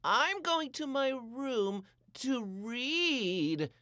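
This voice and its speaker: A man voicing a theatrical storybook boy who speaks in dramatic, drawn-out flourishes. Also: very high voice, very animated, sharp tone, no echo, clean studio sound, voice pushed up, full character voice. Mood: feigned casualness.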